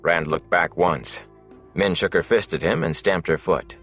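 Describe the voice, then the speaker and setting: Casting: male audiobook narrator, deep voice, scripted fantasy storytelling. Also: unhurried, a bit bright, faint music behind.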